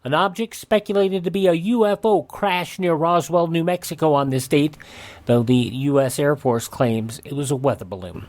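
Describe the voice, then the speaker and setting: A man's voice: fairly high pitch, moderate pace, a little mellow. A man hosting a radio program, reading through dated history notes and commenting on them. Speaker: radio show host.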